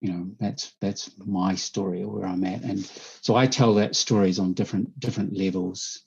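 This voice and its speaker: A man from New Zealand, a guest storyteller, answering interview questions thoughtfully, halting often with fillers and restarts, speaking over a video call. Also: sits low, steady tone, gravelly, darkish tone, slight background noise. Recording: dull lo-fi remote audio.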